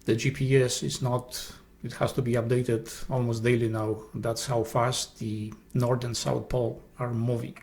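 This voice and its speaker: A man's voice: medium pitch, level tone, vocal fry, darkish tone. Earnest Polish-accented male host. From Poland. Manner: earnest, halting, with restarts. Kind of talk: esoteric presentation narration.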